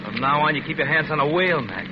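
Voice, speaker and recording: Man acting curt bossy gunman, highish voice, slangy tough talk, muffled noisy old radio show. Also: lively intonation, quick pace, natural voice. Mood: threatening. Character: menacing male gunman hiding in a back seat. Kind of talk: old-time radio crime drama acting.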